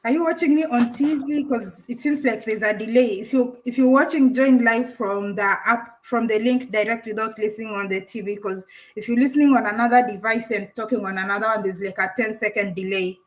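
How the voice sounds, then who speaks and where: A woman's voice: a little high, brisk, hardly any pauses, rough recording. A woman hosting a live stream, chatting casually with a caller and laughing often while she unboxes a camera.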